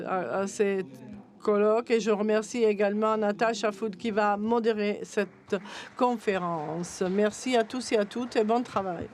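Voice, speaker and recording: A woman interpreting live at a conference, with a halting delivery that often corrects itself. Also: fairly high pitch, a little mellow. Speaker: simultaneous interpreter.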